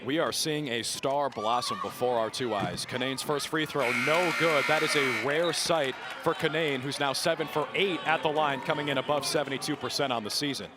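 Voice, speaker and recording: A young American man in his twenties doing live sports play-by-play and show openings in a polished, energetic announcer delivery. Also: medium pitch, moderate pace, few pauses. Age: 20s. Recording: noisy sports venue ambience.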